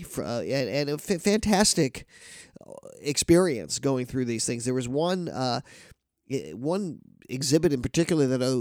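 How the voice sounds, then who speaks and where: A man's voice: mid-range voice, a little mellow, no echo. Man, nasal and stuffy from a cold, podcast host, rambling with restarts, dry close sound. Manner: rambling, with many restarts and fillers. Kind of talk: casual news talk.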